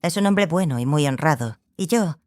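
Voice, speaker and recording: A woman playing a learned, exacting small sorceress who mentors a student, speaking in a measured, matter-of-fact way in an audiobook drama. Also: low-pitched, lively intonation, a little mellow. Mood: detached.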